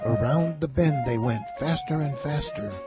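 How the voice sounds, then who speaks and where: A man's voice: medium pitch, normal speed. A man narrating a children's story as a read-aloud. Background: music.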